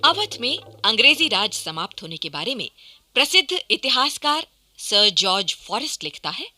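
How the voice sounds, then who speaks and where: A woman's voice: on the high side, sing-song, moderate pace, sharp tone. A woman delivering formal, dramatic scripted narration for a historical radio play in a declamatory, emphatic manner.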